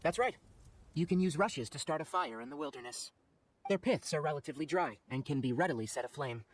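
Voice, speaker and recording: A young, wry forest ranger in a video game. His voice sits fairly high for a male, with lively intonation and an articulate, matter-of-fact way of explaining. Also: brisk. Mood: brisk, instructive. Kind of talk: video game character acting.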